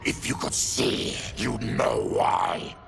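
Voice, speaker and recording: An actor playing a bitter, weary, plague-ravaged mutant man in a video game, gravelly, somber and halting. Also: on the low side, fairly even intonation, music in the background, full character voice. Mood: grim, bitter. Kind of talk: dramatic video game acting.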